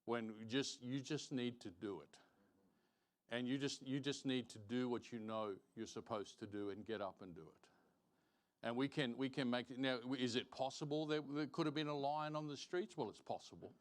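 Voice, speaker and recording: A man teaching a Bible lesson as a preacher, deliberate and emphatic, repeating phrases for stress and reading out verses. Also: medium pitch. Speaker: preacher teaching Sunday school. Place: church, live-streamed service.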